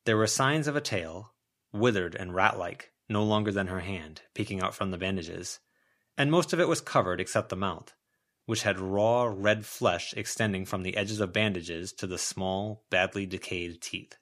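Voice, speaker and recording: A man's voice: deep voice, moderate pace, a bit bright. A man reading a story aloud as a scripted audiobook narration.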